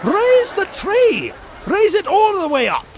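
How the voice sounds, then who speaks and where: A man voicing a grumpy, flustered old rich uncle duck in a cartoon comic dub, scolding and exasperated with drawn-out emphasis. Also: very high-pitched, wildly swooping pitch, crowd noise, noisy background, exaggerated voice. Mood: indignant.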